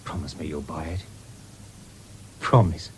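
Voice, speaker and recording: An actor playing an earnest young farm lad in a British radio drama, halting but determined. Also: male voice, extremely low pitch, a little mellow. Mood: eager, pleading. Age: young adult. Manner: hesitant, stumbling over words. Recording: noisy old radio broadcast recording.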